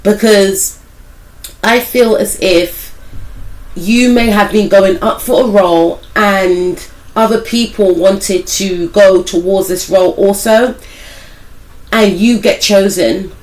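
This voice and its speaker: A woman reads tarot for her viewers in a loose, conversational way, her voice a little croaky from a cold. She wanders from point to point and keeps softening each claim with what she feels. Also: mid-range voice, slow pace, rough recording. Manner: rambling, hedged with "I feel like"; speaks directly to viewers as "some of you". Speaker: tarot reader and spiritual life coach. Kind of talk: casual intuitive tarot reading to viewers.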